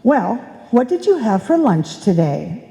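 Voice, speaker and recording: A woman's voice: mid-range voice, big pitch swings, measured pace, gravelly, dark tone. A woman playing a nagging, fussing wife in a live old-time radio comedy, with theatrical scolding exclamations. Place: indoor stage before a live audience. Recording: live stage performance in reverberant hall.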